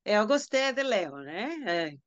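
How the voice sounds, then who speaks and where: A woman's voice: mid-range voice, sing-song, slow, no room sound, muffled recording. A woman from the Dominican Republic, a native Spanish speaker, talking as a guest who is still learning and often searches for words. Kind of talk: casual interview.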